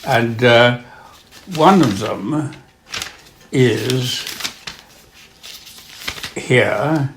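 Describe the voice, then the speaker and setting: An older man reading literary pieces aloud and introducing them informally, with measured delivery and expressive emphasis. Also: mid-range voice, background noise. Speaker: reader of poetry and quotations.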